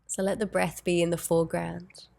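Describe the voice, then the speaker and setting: A woman leading a guided meditation in a soothing, unhurried way, with gentle phrasing and long pauses. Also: fairly low pitch, level tone, vocal fry.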